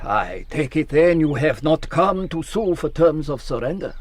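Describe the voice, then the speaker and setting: An actor playing a courteous, shrewd French general, formal and persuasive with quiet menace, in a period radio drama. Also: male voice, fairly high pitch, expressive, a little slow. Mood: courteous, probing.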